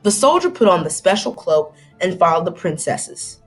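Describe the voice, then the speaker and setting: A woman's voice: lowish voice, big pitch swings, normal speed, husky, faint music behind. A woman reading aloud to children like a teacher, dramatic and inquisitive, stopping to put questions to young listeners.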